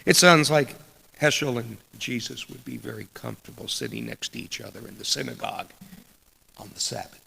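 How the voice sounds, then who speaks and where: A middle-aged man delivering a sermon in a deliberate, measured way with dramatic pauses and a gravelly, husky voice. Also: medium pitch, sharp tone, slight background noise. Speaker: preacher reading a sermon. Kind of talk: sermon with read quotations. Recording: long echoing hall reverb.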